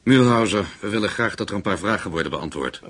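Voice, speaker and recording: An actor playing a menacing, calculating interrogator in a radio drama, measured and commanding. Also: male voice, on the low side, expressive, fairly bright tone, slightly rough. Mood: cold menace. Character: menacing, calculating male interrogator, leader of Nazi conspirators.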